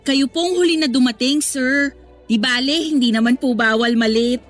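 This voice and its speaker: An actress as a high-voiced, cheerful Filipina employee. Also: female voice, moderate pace, faint music behind, lightly acted. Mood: cheerful, polite. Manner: polite, eager, expressive. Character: cheerful, respectful young female store employee. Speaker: actress in a radio drama. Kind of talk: Tagalog radio drama acting, conversational.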